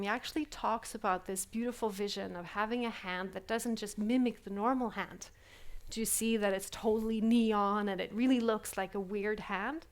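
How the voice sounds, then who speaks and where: A woman giving a live keynote talk at a conference, reflective and full of fillers, often asking the audience questions, speaking English as a with as her first. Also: medium pitch. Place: conference hall.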